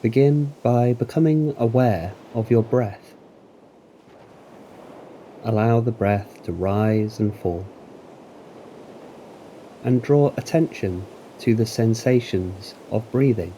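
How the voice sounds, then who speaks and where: A man narrating a guided meditation in a calm, deliberate, soothing manner with long pauses. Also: medium pitch, slight background noise, rough recording. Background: waves.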